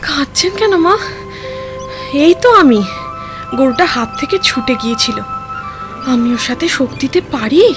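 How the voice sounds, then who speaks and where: A woman's voice: sits high, expressive, normal speed, long pauses. A woman playing an eager, obliging young village daughter-in-law in a cartoon. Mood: flustered. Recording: music behind the voice.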